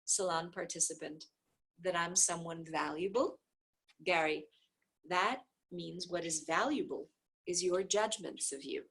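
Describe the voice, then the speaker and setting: A woman reading a dialogue book aloud, speaker labels included, with repeated phrases in an even, steady cadence. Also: lowish voice, a little slow, slightly rough, poor audio. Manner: occasional soft offhand asides.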